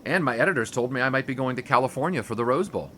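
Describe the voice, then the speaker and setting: A man's voice: medium pitch, animated, very fast, pushed and bright, a little hiss or hum. Orville, a man playing a wisecracking 1920s sports writer. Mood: wry. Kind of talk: 1920s period audio drama.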